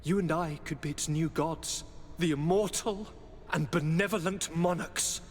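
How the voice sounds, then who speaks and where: A man's voice: fairly high pitch, unhurried, slight background noise, lightly acted. An actor playing a zealous, power-hungry young nobleman in a video game, theatrical and impassioned. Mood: grandiose, fervent. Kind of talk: dramatic video game cutscene acting. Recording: long hall reverb, faint ambience.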